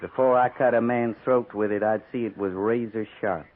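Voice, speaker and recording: An actor playing a folksy frontier soldier who goads coolly and slyly in a 1950s western radio drama. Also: male voice, fairly low pitch, fairly even intonation, moderate pace, close to an everyday voice. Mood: cool needling. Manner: folksy, slyly goading understatement. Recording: thin, tinny 1950s radio recording.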